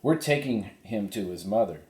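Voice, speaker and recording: A man's voice: lowish voice, animated, normal speed, a little mellow, lightly acted. A man voicing a bold, cheerful young girl in a storybook, eager and earnest. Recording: roomy, echoey sound.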